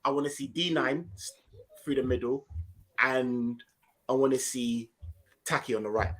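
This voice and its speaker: A man's voice: mid-range voice, steady tone, normal speed, a bit bright, low-quality recording. A young man, chatting animatedly about football as a podcast co-host, full of slang and repeating himself for emphasis. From Ghanaian heritage. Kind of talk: casual football chat.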